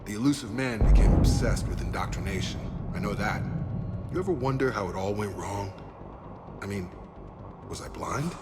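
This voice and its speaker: Game casting: loyal ex-soldier protector, lowish pitch, earnest, plain-spoken delivery, clear music underneath. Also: male voice, expressive, moderate pace. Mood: earnest, resolved. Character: loyal, earnest male ex-soldier guarding refugees. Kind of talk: cinematic video game dialogue.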